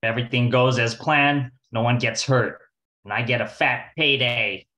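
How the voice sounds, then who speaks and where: A man's voice: mid-range voice, fairly even intonation, moderate pace, bright, forceful tone, natural voice. A man playing a tough, slangy 1940s kidnapper in an old-time radio crime drama, with a menacing hard-boiled delivery. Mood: menacing, wry.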